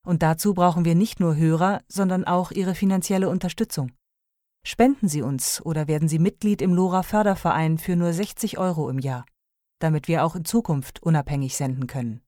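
A woman reading a radio station promo, spelling out contact details slowly and deliberately. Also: low-pitched, dark tone, dry recording, studio quality. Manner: slow, deliberate spelling of web address and phone number. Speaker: station announcer.

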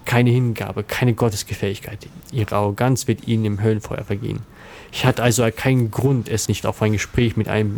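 A man's voice: fairly low pitch, darkish tone. A man playing a zealous, disdainful young convert, narrating earnestly in a scripted audio drama. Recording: clear background noise and ambience.

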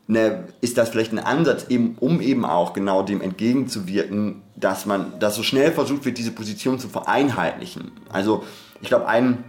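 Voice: medium pitch, normal speed. A podcast co-host in a reflective, conversational discussion, speaking in a discursive academic way and often breaking off to rephrase. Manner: discursive, hesitant, self-interrupting academic talk.

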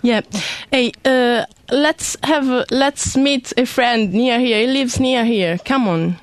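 Woman playing a high-voiced, easygoing young foreigner. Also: slow, dry recording. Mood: casual, helpful. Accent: non-native English. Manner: casual, simple broken phrases. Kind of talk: live radio play acting.

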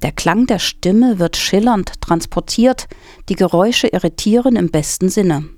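A woman's voice: mid-range voice, a little slow, a little mellow, no room sound, clean studio sound. A woman co-presenting a news programme, reading scripted text and quotations. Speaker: quote reader and co-presenter.

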